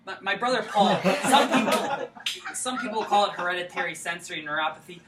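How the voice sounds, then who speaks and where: An actor in a staged reading playing an earnest, naive, childlike man, with a halting, literal-minded way of talking. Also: high voice, lively intonation. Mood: insistent, vulnerable. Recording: echoey room, distant mic, faint noise.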